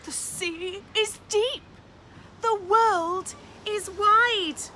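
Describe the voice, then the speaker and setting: A tiny, adventurous female sea snail from a storybook, voiced by a woman. She is high and bright, stretching her words with restless, emphatic longing. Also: extremely slow, slight background noise, exaggerated voice. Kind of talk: storybook character voice.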